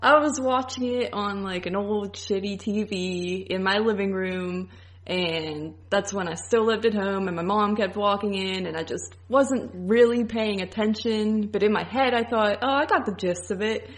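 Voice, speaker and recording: A woman's voice: medium pitch, normal speed. A young adult woman chatting casually as a podcast co-host. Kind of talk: casual chat.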